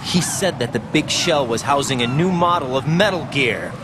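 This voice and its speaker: A man's voice: mid-range voice, lively intonation, normal speed, background noise, natural voice. An actor playing an earnest, uneasy young soldier in a video game, questioning what he is told. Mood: suspicious. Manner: questioning, incredulous. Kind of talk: video game cutscene voice acting.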